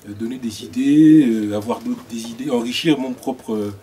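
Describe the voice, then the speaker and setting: A man's voice: medium pitch, normal speed, dark tone. A man from Gabon introducing himself to a group, hesitant with many fillers and restarts. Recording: distant mic, faint room noise.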